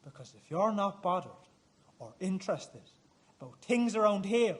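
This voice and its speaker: A very high-pitched, animated male hothead, acted by a man for a radio play. He is aggressive and touchy, a foul-mouthed vigilante who needles people by repeating himself with menace. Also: moderate pace. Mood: needling. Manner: needling, repetitive, swearing.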